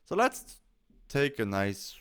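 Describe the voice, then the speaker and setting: A man presenting a software tutorial as a voice-over, explaining things step by step in plain, short instructional phrases. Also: mid-range voice, huge pitch swings, slow, smooth.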